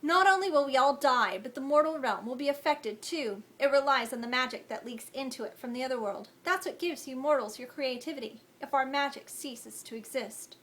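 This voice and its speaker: A woman voicing a gentle, grave young fae man in a storybook reading, earnest and reassuring. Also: high-pitched, animated, a little fast, hardly any pauses.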